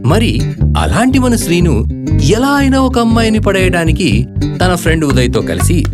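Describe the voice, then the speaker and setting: A wry, chummy storyteller for a college comedy audio drama, with a very animated delivery. He teases and talks straight to the listener while loud music plays behind him. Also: sits high, moderate pace. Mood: playful, teasing. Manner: conversational, teasing, addresses listeners directly. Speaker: narrator.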